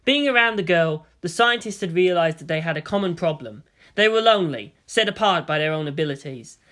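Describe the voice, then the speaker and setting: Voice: medium pitch, animated, brisk, a bit bright. A narrator reading fan fiction aloud as scripted, dramatic narration.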